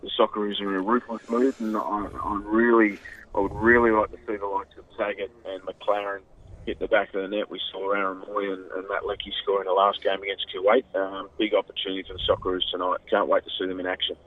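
A man's voice: sits low, completely flat delivery, quick pace. A man with an Australian accent, a football pundit chatting on a radio show, enthusiastic and rambling with plenty of fillers. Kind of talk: radio phone-in commentary. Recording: tinny phone line.

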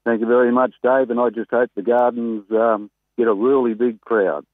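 A man's voice: mid-range voice, moderate pace, dry recording. Australian man on a tinny phone line. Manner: chatty, rambling, excitable about racing. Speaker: greyhound trainer, guest caller. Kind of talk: casual phone interview. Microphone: telephone.

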